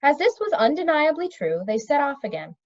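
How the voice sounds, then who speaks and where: A woman reading a children's fantasy novel aloud as an expressive storybook narrator. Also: high voice, fairly quick. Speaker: storybook reader. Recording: dull, lo-fi recording.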